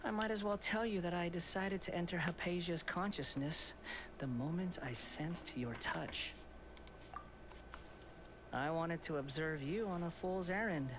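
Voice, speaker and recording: An actor playing a mocking young male god in a video game, speaking in a hushed, breathy half-whisper with a condescending tone. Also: high voice, measured pace, background noise. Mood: taunting. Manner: taunting, condescending.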